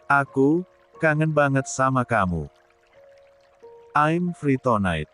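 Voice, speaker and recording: A man's voice: medium pitch, very animated, slow pace, fairly bright tone. Man voicing a sensual flirtatious Western woman. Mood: seductive. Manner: flirty, familiar. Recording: music bed behind the voice.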